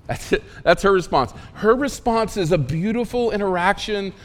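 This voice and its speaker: A man preaching a sermon in a casual, emphatic way, with asides and quick questions to the congregation. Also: sits high, animated, in a large hall. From Oklahoma. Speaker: pastor.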